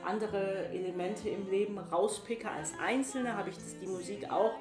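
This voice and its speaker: A woman's voice: mid-range voice, moderate pace. A middle-aged woman from Cameroon answering interview questions reflectively, searching for words and often repeating them. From Yaoundé, Cameroon. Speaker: musician and singer being interviewed. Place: small bright room indoors, seated by a table. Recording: roomy echo, music underneath.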